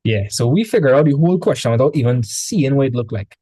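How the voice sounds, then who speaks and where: A man with a Trinidadian accent tutoring a student in math over a video call, relaxed and chatty. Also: mid-range voice, quick pace, slightly rough, no echo. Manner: relaxed, chatty, repeats words when starting. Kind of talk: casual online tutoring session.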